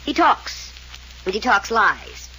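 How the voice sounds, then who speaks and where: A woman's voice: medium pitch, big pitch swings, slow pace, pushed and bright, slight background noise, full character voice, poor audio. An actress playing a flippant, cynical young woman from a wealthy family in an old-time radio mystery serial, drawling her key words sardonically. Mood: defiant, haughty.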